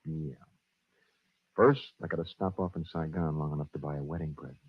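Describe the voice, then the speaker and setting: A tough, tender hunter in a 1940s radio play. His low voice is husky and firm, with few words. The recording is narrow and phone-like. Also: fairly even intonation, fairly quick, no echo, exaggerated voice. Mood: resolute. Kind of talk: 1940s radio drama acting.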